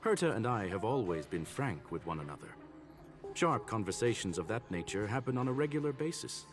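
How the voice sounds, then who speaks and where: An actor playing a composed, analytical gentleman machine in a video game, speaking in formal, measured, eloquent phrases. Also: on the low side, big pitch swings. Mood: courteous, composed. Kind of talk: scripted video game voice acting.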